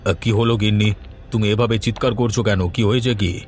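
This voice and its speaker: A man voicing an excitable, easily frightened village father in a horror cartoon, urgent and exclamatory. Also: on the low side, brisk, soft background music, lightly acted. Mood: alarmed, questioning. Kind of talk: dubbed horror cartoon dialogue.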